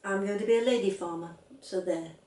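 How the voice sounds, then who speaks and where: A woman's voice: medium pitch, a little fast, dark tone, roomy sound, recorded from a distance, natural voice. A woman voicing a small, determined and scornful little girl in a storybook reading, emphatic and matter-of-fact.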